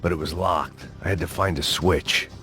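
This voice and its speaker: An actor playing a world-weary, terse middle-aged American ex-cop in a hardboiled video game. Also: male voice, low voice, fairly even intonation, normal speed, close to an everyday voice. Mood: grim, brooding. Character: jaded, hard-drinking middle-aged American ex-cop antihero. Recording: loud music under the voice.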